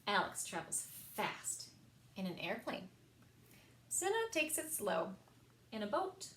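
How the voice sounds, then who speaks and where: A woman reading picture books aloud for a children's story time, expressive and sing-song, with emphasized words. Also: medium pitch, slow pace, slight background noise. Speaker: library story time reader.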